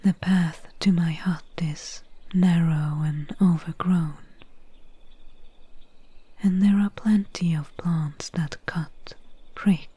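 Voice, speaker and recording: A woman playing a calm, mercenary swamp witch healer in a soft-spoken, whispery ASMR roleplay, gently wry and soothing, close to the microphone. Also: on the low side. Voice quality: breathy, whispery. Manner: slow, unhurried, hushed, soothing.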